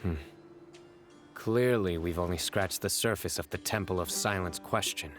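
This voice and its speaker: An actor playing a stern, reserved young desert lawman in a video game, speaking in a measured, formal, deadpan way with some weariness. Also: sits low, soft background music, lightly acted. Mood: grave, reflective.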